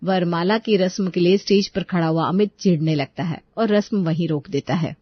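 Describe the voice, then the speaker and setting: A woman's voice: lowish voice, normal speed, no echo, dull audio. A woman delivering scripted, dramatic story narration.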